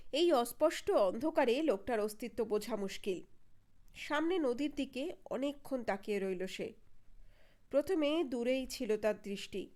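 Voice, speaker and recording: Female narrator for detective audio fiction, fairly high voice, dramatic scripted storytelling. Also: darkish tone.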